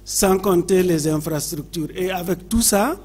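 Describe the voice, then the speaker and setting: Husky Nigerien man speaking at a seminar. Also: sits high, a little slow, darkish tone. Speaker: government adviser speaking at a seminar. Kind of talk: seminar intervention, unscripted public speaking.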